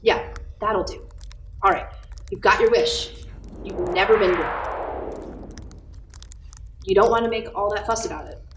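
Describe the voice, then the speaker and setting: A woman's voice: medium pitch, a little slow, pauses often, background noise, lightly acted. A woman playing an earnest, childlike second-class guardian angel, fussy and chatty, in an amateur radio play. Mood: insistent. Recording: roomy echo with music behind.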